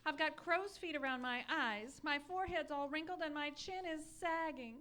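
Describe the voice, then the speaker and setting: A woman's voice: high-pitched, normal speed, bright, forceful tone. A woman playing a nagging, self-pitying, jealous wife in an old-time radio comedy, insistent, accusing and plaintive. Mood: wounded, demanding. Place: live theatre stage performance.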